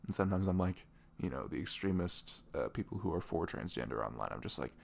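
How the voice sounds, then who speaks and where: A man playing a sweet, caring boyfriend in an intimate ASMR roleplay, speaking softly and tenderly in a velvety, often breathy voice with earnest, rambling reassurance. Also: deep voice, expressive, fast talker, full character voice. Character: sweet, caring, devoted young boyfriend talking in bed.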